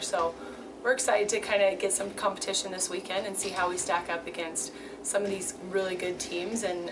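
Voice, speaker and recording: A woman heard a little away from the mic, with clear ambient noise. Her voice is slightly low as she gives unscripted coach's interview answers. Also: recorded from a distance. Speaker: college volleyball coach. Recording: off-mic, noisy ambient background.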